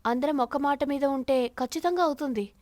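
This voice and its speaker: Woman voicing a high, earnest cartoon sparrow. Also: normal speed, darkish tone, no room sound, natural voice. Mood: resolute. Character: firm, sensible sparrow leading a bird flock in a cartoon.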